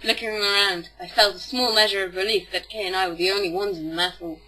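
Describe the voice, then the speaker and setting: Woman doing a sarcastic dramatic book reading, bright kinda husky voice, music behind. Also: medium pitch, steady tone, quick pace, rough recording. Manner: theatrical, sarcastic. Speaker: amateur book reader. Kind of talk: mock-dramatic audiobook narration.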